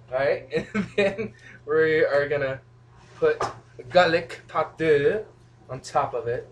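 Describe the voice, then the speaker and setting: Young male home cook, goofy and chatty, talking to a camera across a small kitchen with faint background noise. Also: medium pitch. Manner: goofy, rambling, talks to camera. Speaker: home cooking vlogger. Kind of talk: casual cooking demo, joking asides. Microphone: camera mic from a few feet away.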